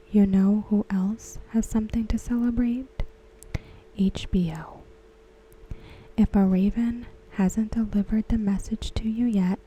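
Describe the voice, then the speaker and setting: A woman whispering softly and without voice, unhurried and very slow. Her tone is deeply mellow and dark, and a faint hiss sits under the recording. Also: rough recording. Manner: soft unvoiced whisper, slow and even. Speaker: ASMR creator. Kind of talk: whispered ASMR recap.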